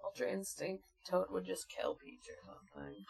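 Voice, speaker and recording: A woman's voice: lowish voice, normal speed, creaky, darkish tone, breathy edge, soft background music. A woman in her twenties co-hosting a podcast in casual chat, with a blunt, sweary way of talking. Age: 20s. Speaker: podcast co-host.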